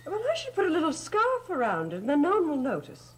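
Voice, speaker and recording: A woman's voice: high voice, huge pitch swings, fast. An actress playing a cool, elegant, sly middle-aged lady in a gothic soap opera, poised and arch. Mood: scornful, mocking.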